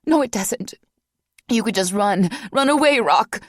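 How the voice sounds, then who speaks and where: A woman voicing a passionate young woman who pleads in a high, loud, desperate voice. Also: sing-song, brisk, no room sound, clean studio sound.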